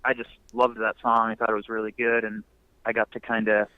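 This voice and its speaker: A man's voice: lowish voice, completely flat delivery, a little fast, no echo. A young man musician talking casually in a phone interview, laid-back and rambling with many fillers. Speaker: musician guest. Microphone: phone line. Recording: muffled phone line.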